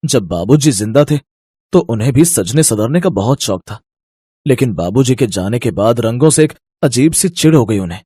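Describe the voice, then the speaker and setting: A man's voice: mid-range voice, lively intonation, normal speed, dark tone, breathy edge, dry recording, clean studio sound. A man playing a caring young husband and son in a cartoon story, earnest and firm. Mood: concerned, resigned. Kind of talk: Hindi animated moral-story voice acting.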